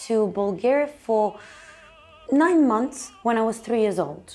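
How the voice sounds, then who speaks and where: A woman's voice: fairly high pitch, expressive, normal speed, a little mellow, soft background music. A woman born in Italy, a guest in an interview, telling her story in long, chatty, run-on answers and laughing often. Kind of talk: casual interview talk.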